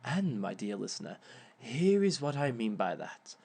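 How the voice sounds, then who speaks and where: A man playing a suave, smug reformed villain who lectures as a relationship expert in a theatrical, measured, drawn-out way. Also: medium pitch, big pitch swings, creaky, darkish tone. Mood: smug, instructive. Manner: theatrical, measured, drawn-out phrasing with sly chuckles. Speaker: speaker leading a seminar session. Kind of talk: scripted in-character audio roleplay lecture.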